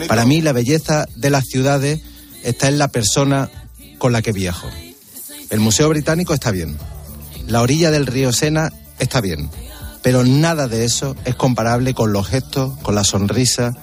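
A man's voice: medium pitch, darkish tone. Man reading a reflective radio column.

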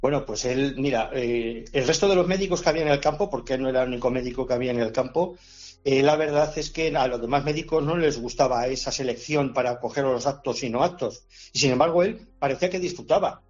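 A man's voice: mid-range voice, fast, darkish tone, highs cut off. A man answering as an expert guest, talking casually with frequent fillers and restarts. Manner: hesitant, many fillers and restarts. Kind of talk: conversational explanation.

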